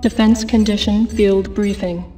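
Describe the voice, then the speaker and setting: An actress playing an impassive military briefing announcer in a video game, with clipped, impersonal delivery. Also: female voice, medium pitch, steady tone, a little slow, dark tone, far from the mic, soft background music, natural voice. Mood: impassive, official. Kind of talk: scripted game mission briefing.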